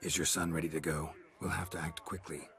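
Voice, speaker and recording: Game casting: a laconic, protective monster hunter, middle-aged, gruff, dry and exceptionally low-pitched. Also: male voice, level tone, fairly quick, a bit bright. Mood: dry, businesslike. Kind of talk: video game character acting.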